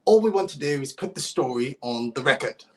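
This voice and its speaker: A young man playing a smooth, placating police detective in a 1930s-style radio play. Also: mid-range voice, lively intonation, a little fast, a little mellow, lightly acted. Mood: soothing. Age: young adult.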